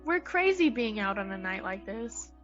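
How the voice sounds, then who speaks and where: A woman's voice: mid-range voice, big pitch swings, normal speed, fairly bright tone, lo-fi sound, faint music behind. An actress playing an anxious, cautious young woman in a 1940s-style radio play. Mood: nervous.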